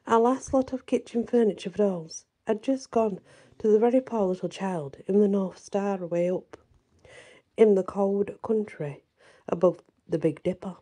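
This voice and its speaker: Female storyteller, very mellow and muted in tone, husky, reading a children's tale gently. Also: mid-range voice, moderate pace. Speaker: channel host and story reader.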